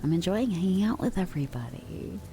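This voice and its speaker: A young adult woman streamer chatting softly with her viewers, drifting in and out of whispers, relaxed and affectionate. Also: lowish voice, fairly quick, music in the background. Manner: relaxed, affectionate, reads and answers chat; drifts between soft talk and whispers. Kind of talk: soft-spoken ASMR chat with viewers.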